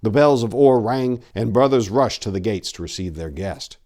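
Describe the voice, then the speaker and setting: Low male narrator, theatrical and brooding, reading a story aloud with lots of drama. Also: animated, darkish tone. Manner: theatrical, brooding, deliberate delivery. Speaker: narrator and channel host.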